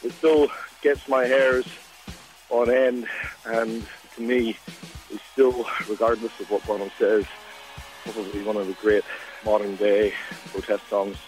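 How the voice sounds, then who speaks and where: A man with a Northern Irish accent leaves a reflective message, his voice sitting somewhat low. He speaks earnestly and at an even pace. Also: a little slow, pauses often, faint music behind, poor audio. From Northern Ireland. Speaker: caller.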